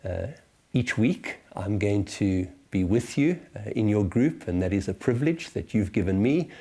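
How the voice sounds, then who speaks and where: A man in his sixties with a South African accent, giving a reflective church teaching to small groups in a measured, deliberate way, often pausing and hesitating. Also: lowish voice, expressive, mellow, slightly rough. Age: 60s. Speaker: church teacher leading a series.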